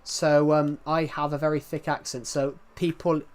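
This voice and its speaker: A man's voice: a little high, level tone, moderate pace. Chatty young British man with thick accent. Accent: British regional. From United Kingdom. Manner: rambling, many restarts, chatty and candid. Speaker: live streamer. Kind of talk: casual chat with live viewers.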